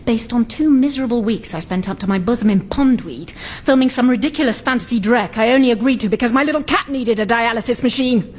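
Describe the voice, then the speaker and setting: A woman's voice: on the high side, fast talker, hardly any pauses, darkish tone, slight background noise, lightly acted. A woman playing a vain, easily offended film star in a radio sitcom, with a grand, condescending manner. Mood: grand, scornful.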